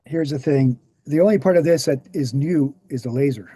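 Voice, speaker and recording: A man's voice: fairly high pitch, normal speed, mellow, husky, no room sound, studio quality. A man reading the part of a confident, didactic explainer in scripted dialogue. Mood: assured. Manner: didactic, assured. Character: Gary, confident explanatory man debunking assassination myths. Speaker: actor in a screenplay table read.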